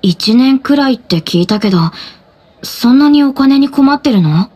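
A gentle high school boy from an anime game. He has a slightly high, husky voice with a lively swing and speaks in a soft, inquiring way. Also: normal speed. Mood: puzzled, curious. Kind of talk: Japanese anime-style game acting.

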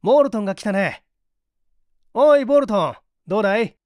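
A man voicing a methodical gentleman detective in an audiobook, assured and analytical as he explains. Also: high-pitched, animated, brisk, no echo, clean studio sound, pitched above the performer's own voice. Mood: calling out. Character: confident, methodical gentleman detective in a mystery story.

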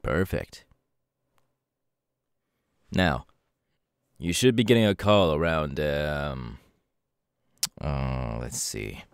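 Guy doing a serious hero mentor for asmr roleplay, really low voice, dry close sound. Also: extremely slow, vocal fry, pauses often, clean tone, dry recording, exaggerated voice. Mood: softly friendly. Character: serious young male pro hero mentor briefing his protégé.

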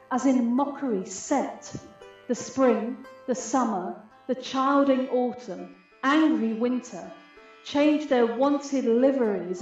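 A woman's voice: sits high, darkish tone. A woman playing a proud, aggrieved fairy queen in a Shakespearean radio play, speaking stately, declamatory verse. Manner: stately, measured, declamatory delivery. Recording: heavy reverberant echo, muffled, music behind. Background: music.

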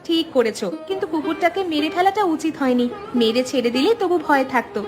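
A woman's voice: high voice, expressive, a little fast. A woman voicing a cheerful, affectionate young student in a cartoon fairy tale. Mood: warm, chatty. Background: music.

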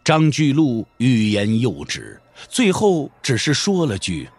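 A man narrating a martial-arts audio drama in a measured, expressive, scripted storytelling style. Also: medium pitch, slightly rough, no echo. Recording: music under the voice. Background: background music.

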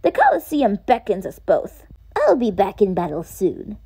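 A woman's voice: mid-range voice, huge pitch swings, normal speed, dark tone, breathy edge, pitched below the performer's own voice, full character voice. A woman voicing a small, boastful warrior bug from a video game, grandiose and chatty. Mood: proud, eager. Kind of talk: fan dub of video game dialogue.

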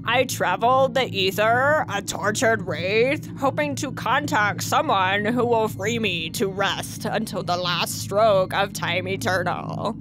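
Woman as an earnest, slow-paced storytelling friend. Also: mid-range voice, background noise, natural voice. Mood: earnest, ominous. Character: earnest friend telling a spooky absurd tale. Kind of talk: sketch comedy acting.

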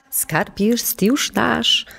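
Innkeeper's wife, female actor: greedy, bossy schemer, slightly high voice, slow swooping audio-drama delivery. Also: vocal fry, pushed and bright, heavily acted. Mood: gloating. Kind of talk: dramatic audio play acting.

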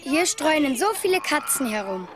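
A woman's voice: high voice, very animated, normal speed, background noise. A woman voicing a small, cheerful male toy rabbit who chats in a friendly tone as he reads out his letter from a trip. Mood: chatty. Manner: chatty, friendly letter-writing tone. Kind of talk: storybook letter reading.

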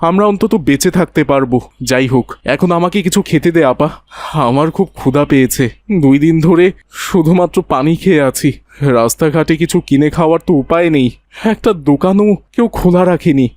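A man's voice: a little high, a little fast, a little mellow, dry recording, natural voice. A man voicing a frightened, weary younger brother fleeing war in a cartoon. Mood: weary, pleading. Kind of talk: cartoon voice acting.

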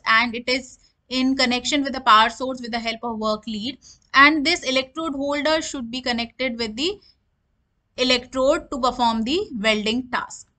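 A woman's voice: sits high, pushed and bright. A woman giving a technical lecture over slides, explaining each term and then restating it in simpler words.

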